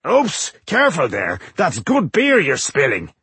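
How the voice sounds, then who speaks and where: A man voicing a brash, boozy dwarf mason, a cheeky trickster with a rough, boastful way of talking, in a fantasy audiobook. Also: a little high, huge pitch swings, a little slow, sharp tone, no echo, voice pushed up, full character voice. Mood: feigned innocence. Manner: boastful, breezy, impudent.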